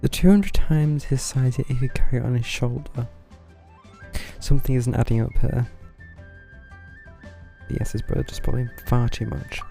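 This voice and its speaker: A man's voice: mid-range voice, normal speed, creaky, pauses often. A man giving casual, wry live commentary as he streams a game, with game music behind him.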